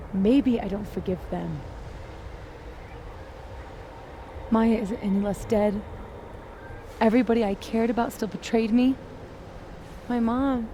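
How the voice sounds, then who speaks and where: A woman's voice: mid-range voice, background noise, natural voice. An actress playing a wounded, defiant young woman in a video game, sardonic, bitter and profane. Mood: bitter, hurt. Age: young adult. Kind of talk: naturalistic video game acting.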